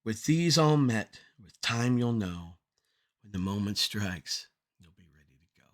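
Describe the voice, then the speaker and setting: A man reading his own poetry aloud in an earnest, deliberate way, pausing between short phrases. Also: fairly low pitch, lively intonation. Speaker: poet reading own work. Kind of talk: poetry recitation at a draft table read.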